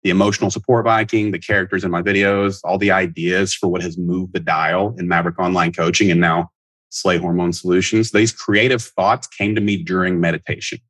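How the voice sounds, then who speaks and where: A man's voice: lowish voice, fairly bright tone, slightly rough, no room sound. A man in his thirties giving a scripted motivational monologue as a podcast host and life coach, speaking in an earnest, emphatic, instructive way.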